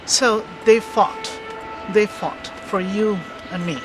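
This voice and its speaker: A man's voice: high-pitched, steady tone, takes it slowly, music in the background, low-quality recording. A man giving earnest, plainly worded answers in an interview. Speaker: interviewee, bereaved parent.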